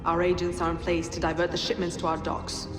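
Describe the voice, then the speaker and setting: Actress as brisk, haughty woman conspirator. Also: on the low side, completely flat delivery, over music, close to an everyday voice. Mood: brisk, businesslike. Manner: formal, businesslike.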